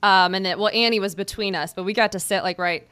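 A woman's voice: mid-range voice, steady tone, fast talker, fairly bright tone, smooth. A young woman, a sports reporter hosting a podcast, asking questions in a casual, filler-heavy way. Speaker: beat reporter, podcast host. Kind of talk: casual interview chat.

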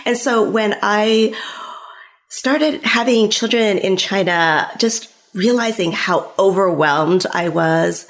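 Creaky-voiced woman guest, chatty. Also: mid-range voice, slow pace. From United States. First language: Cantonese. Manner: conversational, many fillers like "like" and "you know". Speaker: guest author. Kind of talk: casual interview talk.

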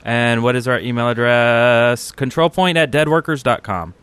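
Man, medium pitch, podcast co-host, casual, jokey. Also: normal speed, fairly bright tone, clean tone, no echo. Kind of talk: casual chat.

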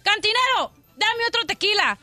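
A woman voicing a drunk cowboy in a bar joke, loud and demanding. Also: very high-pitched, very animated, normal speed, no room sound, faint music behind, pitched above the performer's own voice, exaggerated voice.

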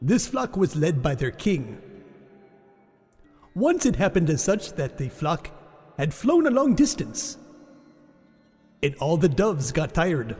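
Male narrator for a dramatic folk tale: high voice, highly animated, long reverb with music underneath. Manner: theatrical, exaggerated storytelling. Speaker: storyteller.

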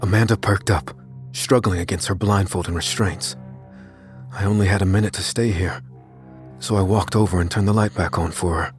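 An actor playing an unassuming man turned secretive vigilante, narrating his account in a measured, grave way for an audio drama. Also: low-pitched, fairly even intonation, close to an everyday voice. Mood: grave, resolute. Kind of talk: scripted first-person audio drama narration. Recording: music under the voice.

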